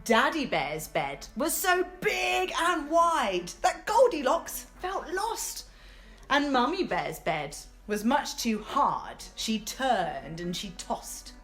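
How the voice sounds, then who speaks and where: A woman telling a rhyming children's story in a theatrical, expressive way. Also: sits high, slow pace. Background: guitar music.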